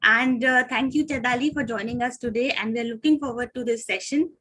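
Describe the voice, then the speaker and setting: A woman with an Indian English accent moderating an online medical journal club over a video call, formal with frequent "uh" pauses. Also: a little high, a bit bright, a little hiss or hum.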